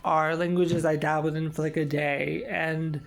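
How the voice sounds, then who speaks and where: Young man, twenties, casual vlog chat, rambling with fillers, very gravelly, fairly high voice. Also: little pitch movement, unhurried. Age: 20s. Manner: rambling, lots of "like" and "um". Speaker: vlogger.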